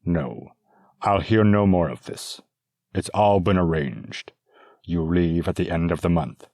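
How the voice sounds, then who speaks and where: A man's voice: deep voice, measured pace, a little mellow, no echo, clean studio sound. A man doing a stern bearded nobleman father. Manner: firm, commanding.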